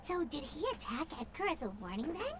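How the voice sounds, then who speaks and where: A woman's voice: sits high, very animated, fast, heavy background noise. A woman voicing a small, childlike fairy companion in a video game, curious and chirpy. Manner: refers to self in third person.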